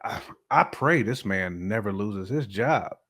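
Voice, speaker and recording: An American man with a fairly low voice talks casually as a podcast co-host. He states opinions emphatically, circles back to repeat them and slips into imagined quotes to make his point. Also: lively intonation, a little slow, fairly bright tone, slightly rough. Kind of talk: casual opinionated relationship discussion.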